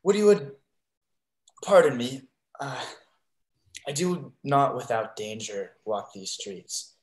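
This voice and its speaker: A young man acts out a devoted, wary seafarer in a classic stage play. His mid-pitched voice is earnest and halting, in an echoey room on a video call. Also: very animated, a little mellow. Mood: wary, caring. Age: young adult. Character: devoted, wary male sea fighter in a stage comedy. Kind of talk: Shakespeare reading over video call.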